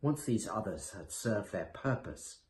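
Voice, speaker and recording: A man's voice: lowish voice, expressive, measured pace. A man reading aloud as an author, in the scripted style of literary narration. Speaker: author reading from own book. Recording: echoey room with faint noise.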